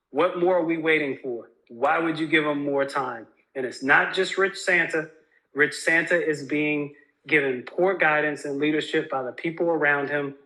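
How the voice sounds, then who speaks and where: Higher-voiced, forceful man campaigning. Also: rough recording. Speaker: union leadership candidate. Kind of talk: campaign message to union members.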